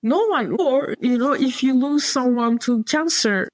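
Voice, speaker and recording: A woman's voice: high-pitched, measured pace, no room sound. A woman with a Turkish accent, a designer and publisher talking as an interview guest, hesitant and circling back to her point. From Turkey. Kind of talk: casual interview conversation.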